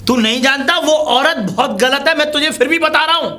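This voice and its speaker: Man acting as hot-tempered husband, high-pitched, sharp forceful tone, scolding, domineering, family drama. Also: brisk. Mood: defiant. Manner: scolding, confrontational.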